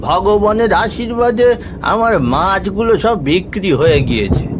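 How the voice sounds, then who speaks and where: A man playing a chiding but soft-hearted village fishmonger in a cartoon ghost story. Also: high-pitched, animated, measured pace, background noise. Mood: contented. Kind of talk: animated horror story dialogue.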